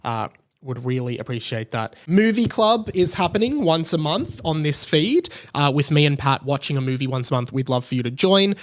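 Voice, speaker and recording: A man's voice: on the high side, animated, normal speed. An Australian man in his late twenties chatting casually and enthusiastically, as a solo podcast host. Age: late 20s. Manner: enthusiastic, gushing.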